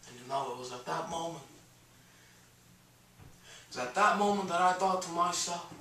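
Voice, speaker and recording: A man's voice: fairly high pitch, normal speed, pushed and bright, a little hiss or hum. A man playing a brash, cantankerous middle-aged comedian-painter in a theatrical monologue, stammering and swinging between muttering and bellowing. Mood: nostalgic storytelling. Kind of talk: one-man dramatic monologue on stage. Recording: echoey room, distant microphone.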